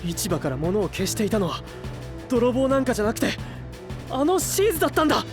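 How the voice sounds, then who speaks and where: Anime young knight hero: high, very expressive, idealistic and pleading, light music behind. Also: male voice, fairly quick. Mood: shocked. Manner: impassioned, sincere pleading. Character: earnest young male knight, trusting, hot-blooded idealist. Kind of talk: anime audio drama acting.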